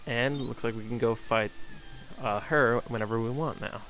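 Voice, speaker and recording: A man giving casual, off-the-cuff live gameplay commentary, stumbling over words and reading text aloud in halting phrases. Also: medium pitch, fairly even intonation, a bit bright, a little hiss or hum, rough recording. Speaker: game streamer. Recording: faint game music behind.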